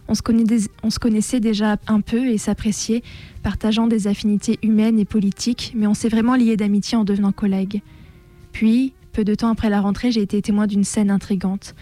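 A woman reading a written first-person testimony aloud on the radio in a solemn, measured way. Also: medium pitch, flat delivery, warm tone. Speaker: radio show contributor reading a testimony on air.

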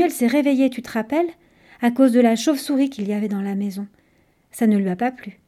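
A woman's voice: on the high side, warm tone, lightly acted. A woman voicing a tiny, curious, eager young female creature in a storybook, chatty, inquisitive and earnest. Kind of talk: audiobook storytelling.

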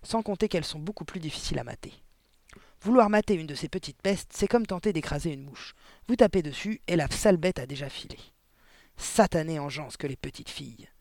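A monstrous middle-aged storybook headmistress, played by a man, bullying and full of spite. The voice is pushed high and barks out each phrase with emphatic scorn. The sound is close and dry. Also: animated, a little fast, darkish tone, dry recording. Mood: scornful, spiteful.

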